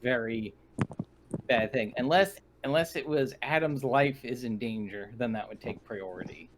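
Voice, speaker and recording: A man with an average-pitched voice talks casually during a tabletop roleplaying game as one of the players. A faint noise sits behind him. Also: moderate pace.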